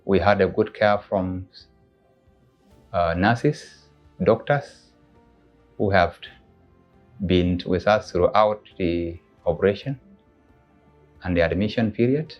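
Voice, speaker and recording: A man from South Sudan with a South Sudanese accent, giving a formal, halting testimonial about a relative's care. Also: low-pitched. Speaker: patient's husband giving a testimonial. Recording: dull audio under background music.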